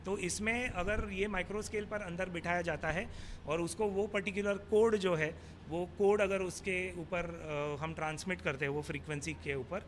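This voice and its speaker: Male technical expert, Indian accent, high pitch, Hindi-English explanatory delivery, noisy location sound. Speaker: technical expert being interviewed. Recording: clearly noisy on-location ambience.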